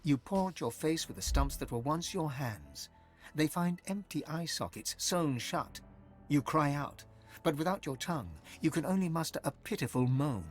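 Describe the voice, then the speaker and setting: A man performing as an ominous storyteller, narrating a dark fantasy game in a measured, theatrical way over music. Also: on the high side, animated, close to an everyday voice. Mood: grim, ominous. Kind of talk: dramatic scripted second-person narration.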